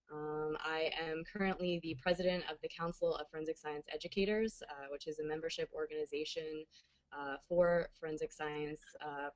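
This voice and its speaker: An American woman in her thirties co-hosting a webinar as a science educator, in an informal professional style. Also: low voice.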